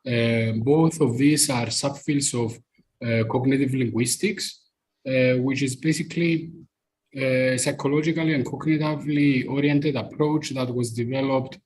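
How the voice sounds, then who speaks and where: A man with a Greek accent, a native Greek speaker, explaining at length in a live online discussion with many pauses and fillers. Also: mid-range voice, fairly even intonation, darkish tone, a little hiss or hum, rough recording. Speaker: discussion participant. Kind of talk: live podcast group discussion.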